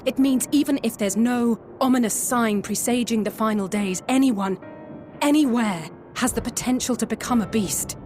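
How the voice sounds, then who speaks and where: An actress playing an earnest adventurer who reports grim findings with growing urgency in a game cutscene. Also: female voice, a little high, moderate pace, darkish tone, natural voice. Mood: urgent. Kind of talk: video game cutscene acting. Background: orchestral music.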